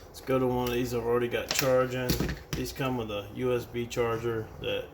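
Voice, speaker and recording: Gravelly American man in a roomy recording. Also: mid-range voice, little pitch movement, a little fast. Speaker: product reviewer. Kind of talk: casual hands-on product demonstration. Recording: roomy, distant mic with background noise.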